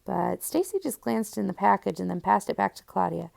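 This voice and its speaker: A woman narrates a children's novel in the first person. Her voice sits at a middle pitch with very heavy vocal fry, and she tells the story expressively. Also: animated, a little fast, a little mellow. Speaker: audiobook reader.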